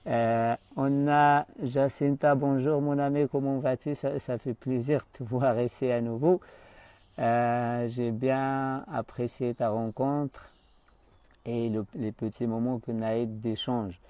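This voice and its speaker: A man's voice: medium pitch, little pitch movement, slow pace, warm tone, smooth, no room sound. Hesitant man coaching live. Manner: hesitant, often searching for words. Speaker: life coach hosting a live stream. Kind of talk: unscripted live-stream talk to viewers.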